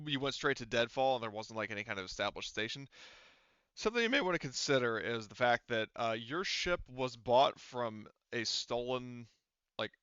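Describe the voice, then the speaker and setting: Bright-voiced man explaining game rules, slightly muffled. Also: mid-range voice, clean tone, no echo. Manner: hesitant, rambling, self-correcting explanations. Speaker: game master. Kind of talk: casual tabletop game refereeing, explaining rules.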